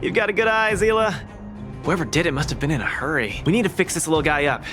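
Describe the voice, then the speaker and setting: An actor playing a cocky, upbeat young champion fighter in a video game, confident and breezy, with music underneath. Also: male voice, on the high side, animated, fast talker, natural voice. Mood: eager, casual.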